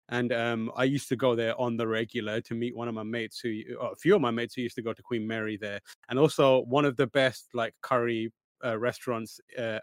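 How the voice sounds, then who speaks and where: A man's voice: mid-range voice, no room sound. Chatty East London man. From born in Pakistan, raised in East London. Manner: chatty, rambling, laughs often. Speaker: guest host. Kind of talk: casual chat.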